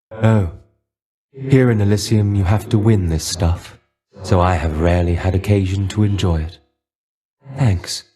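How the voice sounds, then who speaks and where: A man acts out a melancholy male shade of a fallen warrior for a dramatic game. The voice sits low and soft-edged, with a dark, relaxed tone. The delivery is dry, guarded and resigned, in formal, archaic phrasing. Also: close to an everyday voice. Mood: melancholy, grateful. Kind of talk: dramatic video game character voice acting.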